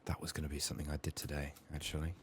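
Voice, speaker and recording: Male ASMR gamer, extremely low voice, hushed and whispery, slightly strained as if under the weather, thinking aloud over faint noise. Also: completely flat delivery, rapid-fire. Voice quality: soft-spoken, often dropping to whispers. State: sick, strained voice. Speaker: ASMR gameplay streamer. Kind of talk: soft-spoken ASMR game playthrough. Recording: faint noise, keyboard typing and clicks. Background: keyboard typing, clicking, faint noise.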